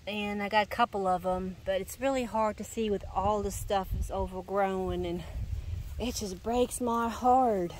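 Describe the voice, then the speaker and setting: A woman's voice: mid-range voice, darkish tone, slight background noise, rough recording. A woman with a stuffy, nasal voice from congestion, rambling and correcting herself as she walks through her home garden outdoors, filming with a handheld camera. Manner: rambling, self-correcting, sighing. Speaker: gardening vlogger. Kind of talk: casual garden walk-through. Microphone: handheld camera filming while walking.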